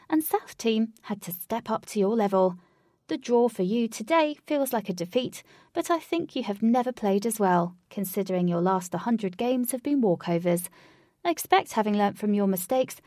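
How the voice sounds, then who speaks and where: A woman's voice: medium pitch, darkish tone, dry recording, clean studio sound, lightly acted. Woman reading a gracious young coach. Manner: formal, gracious speechmaking.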